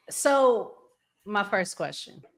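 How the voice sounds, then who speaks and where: A woman's voice: medium pitch, a bit bright. A woman hosting a casual relationship talk show.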